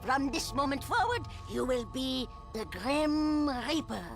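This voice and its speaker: A woman's voice: sits high, expressive, unhurried, faint music behind. A woman voicing the solemn mother of the Grim Reaper in a cartoon, speaking in a grave, ceremonial way.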